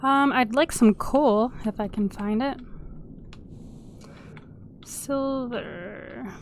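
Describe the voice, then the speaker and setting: A woman's voice: on the high side, very slow, a little hiss or hum. Sniffly woman chatting through a gameplay video. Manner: chatty, hums and sings little tunes while playing. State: sniffly from a cold. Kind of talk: casual let's-play commentary.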